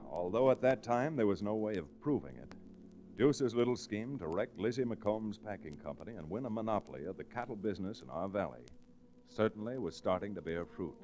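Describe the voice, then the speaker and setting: An actor playing an upright, folksy western lawyer with an American accent and wry delivery in 1940s radio style. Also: male voice, on the low side, darkish tone. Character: upright, folksy western lawyer hero narrating his adventures.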